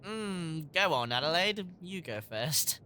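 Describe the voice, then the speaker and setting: A determined urchin boy in an audio drama. The voice is low and earnest, with faint rumble in the background. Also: sing-song, takes it slowly, sharp tone, full character voice. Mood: hesitant, determined. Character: plucky boy leader of street urchins.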